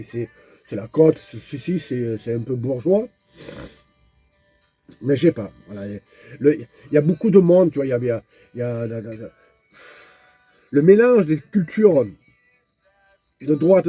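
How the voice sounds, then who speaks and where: Man, husky voice, deep mellow tone, casual vlog chat, halting and repetitive. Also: dry recording. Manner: halting, repeats words while searching for them; talks straight to the viewer. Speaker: nomad vlogger. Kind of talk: casual rambling vlog monologue.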